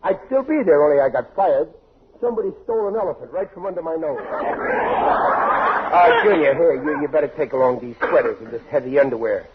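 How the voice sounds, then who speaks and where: A man's voice: fairly high pitch, expressive, fairly quick, natural voice. An actor playing a blundering, cocksure working-class father in 1940s radio comedy, stammering and overconfident. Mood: smug. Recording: muffled, hissy 1940s radio recording.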